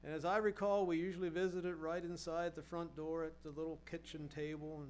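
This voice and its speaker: A man's voice: on the high side, flat delivery, a little fast, a little mellow. A middle-aged man preaching a sermon, earnest, asking rhetorical questions and often repeating words as he goes.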